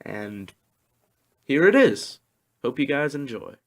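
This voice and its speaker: A man's voice: mid-range voice, sing-song, slow pace. A man narrating a science fiction novel in the style of an audiobook, reading from a script.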